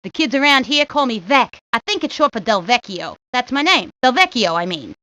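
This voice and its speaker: A woman's voice: a little high, big pitch swings, a little fast, bright, forceful tone, no room sound. A woman voicing a cocky, streetwise neighborhood boy, talking in brash slang for a cartoon video game. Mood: brash, dismissive. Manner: brash, slangy wise-guy talk. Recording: dull lo-fi 1990s game audio.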